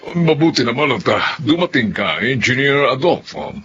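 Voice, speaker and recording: A man's voice: medium pitch, animated, moderate pace, dull audio, close to an everyday voice. A man acting a patronizing, businesslike rich client in a Filipino radio drama, with a Filipino accent. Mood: smug, businesslike. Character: smooth-talking, businesslike rich male building client, condescending.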